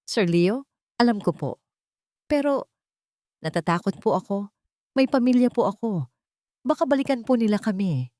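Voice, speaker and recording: A woman's voice: medium pitch, expressive, normal speed, pauses often, mellow, no room sound, clean studio sound, voice pushed up, natural voice. A woman with a Filipino accent voicing a timid but brave young waitress, polite and earnest, in a romance story. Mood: afraid.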